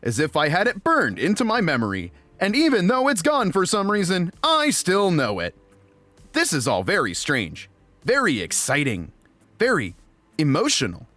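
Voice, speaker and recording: A man's voice: high voice, huge pitch swings, moderate pace, fairly bright tone, no echo, soft background music, exaggerated voice. A man voicing a cheerful, naive, friendly robot who narrates an audiobook in an earnest, guileless way. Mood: hopeful.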